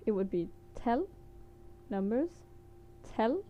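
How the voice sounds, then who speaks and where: A woman's voice: on the high side, big pitch swings, slow pace, lo-fi sound, slight background noise. A woman from Denmark with a Danish accent, teaching words in a casual, hesitant vlog with many fillers and small laughs. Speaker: language teacher vlogger.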